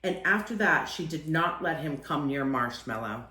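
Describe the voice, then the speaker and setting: Woman, children's storyteller, expressive read-aloud, animated pitch swings, slightly low-key echoing room. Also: moderate pace. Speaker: storyteller reading a children's picture book aloud.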